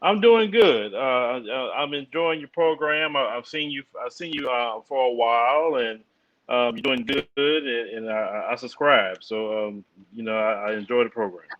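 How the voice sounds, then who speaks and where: A man's voice: mid-range voice, normal speed. A man calling into a livestream, polite and hesitant, restarting his sentences. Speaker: caller, fellow channel host. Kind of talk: call-in conversation. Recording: dull lo-fi call audio.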